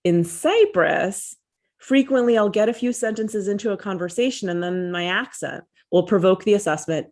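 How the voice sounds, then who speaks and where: A woman author reading her own personal essay aloud, at a measured and reflective pace, over a video call. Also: mid-range voice, darkish tone. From United States.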